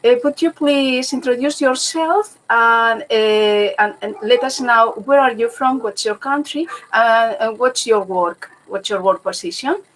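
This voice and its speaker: Brief: woman, slightly high voice, runs a video call roll call, halting with lots of "uh". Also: fairly bright tone, a little hiss or hum, poor audio. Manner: many filler "uh"s, restarts. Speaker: online meeting moderator. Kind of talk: hesitant meeting moderation.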